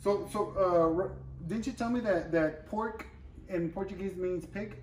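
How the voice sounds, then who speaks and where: A man's voice: high voice, unhurried, slight background noise. A middle-aged man from Texas, a boxing coach, talking casually and repeating himself in a gym. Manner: relaxed, repetitive phrasing. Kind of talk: casual chat. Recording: echoey gym, heard from a distance.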